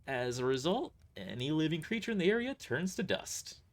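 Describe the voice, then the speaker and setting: A brash mercenary squad leader, acted by a man for an audio drama. The delivery is boastful and dismissive, loud and casual, with pitch that swings freely. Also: very animated, normal speed.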